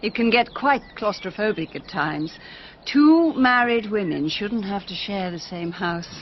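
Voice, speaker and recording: A woman's voice: mid-range voice, measured pace, muffled recording, slight background noise, close to an everyday voice. An actress playing an elegant, restless married lady in a period radio drama, with a genteel upper-class British accent. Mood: confiding. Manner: genteel, expressive.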